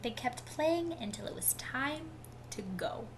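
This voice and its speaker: Slow-paced woman reading a picture book. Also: high voice. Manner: expressive, animated storytelling. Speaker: teacher reading aloud. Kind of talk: picture-book read-aloud for children. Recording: clearly noisy home webcam recording.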